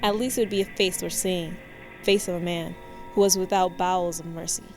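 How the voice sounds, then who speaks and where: A woman's voice: fairly low pitch, steady tone, brisk, a little mellow, poor audio. Woman narrating a story over music. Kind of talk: literary scripted narration, radio play.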